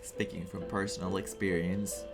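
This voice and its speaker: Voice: low-pitched, little pitch movement, moderate pace, over loud music. A streamer giving casual, reflective and emotive commentary during a story game playthrough, sometimes reading dialogue aloud.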